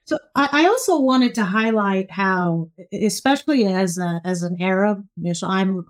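A woman from Egypt, a law professor giving measured, emphatic explanations as an interview guest. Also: medium pitch, lively intonation.

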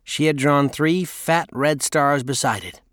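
A man's voice: on the high side, measured pace, a bit bright, smooth, no room sound, clean studio sound. A man narrating a memoir audiobook in a scripted first-person storytelling style.

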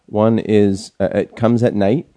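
A man in casual conversation about upcoming films, rambling and pausing often to search for names. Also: on the low side, flat delivery, mellow, dry recording. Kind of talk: casual movie chat.

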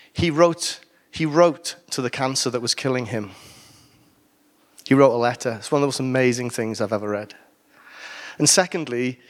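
A Welsh man preaching to his church. His voice sits at an ordinary middle pitch. He delivers the sermon casually, breaking off for jokes and asides. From Wales. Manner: conversational with asides and humor.

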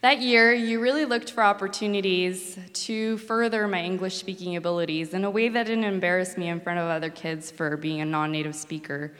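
A woman's voice: fairly low pitch, fairly even intonation, a little fast, few pauses, fairly bright tone. A woman from Mexico, a native Spanish speaker, earnestly telling a personal story as a former student. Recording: long hall reverb.

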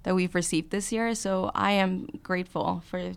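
A woman's voice: fairly low pitch, fairly even intonation, normal speed, creaky, smooth. A woman co-hosting a podcast, chatting casually and laughing with her co-host.

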